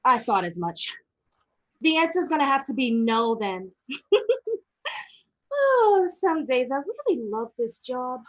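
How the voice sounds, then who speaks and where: A snide, bored receptionist, played by a woman who drawls her refusals slowly. The voice is a little high and unhurried, and she sounds dismissive throughout. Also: big pitch swings, exaggerated voice, rough recording.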